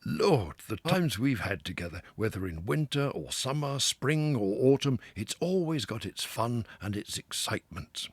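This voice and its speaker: A man voicing a hearty, self-assured male water rat who talks loudly and with relish. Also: medium pitch, moderate pace, few pauses, slightly rough, no room sound, voice pushed up. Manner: loud, confident, lively, with chuckles.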